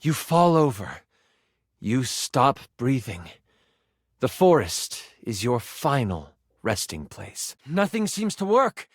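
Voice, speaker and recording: A man playing an eager game-master character, narrating a tabletop fantasy adventure with dramatic, expressive delivery. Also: mid-range voice, takes it slowly, no echo, clean studio sound. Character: animated game-master character, eager storyteller, a living die.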